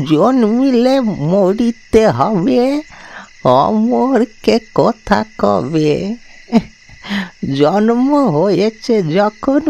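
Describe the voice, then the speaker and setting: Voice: mid-range voice, gravelly, warm tone, heavily acted. An actor voicing a frail, fond old village grandfather in a cartoon ghost story, rambling and repeating words in a fatalistic way. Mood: resigned, fond. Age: older. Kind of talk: dramatised animated ghost story.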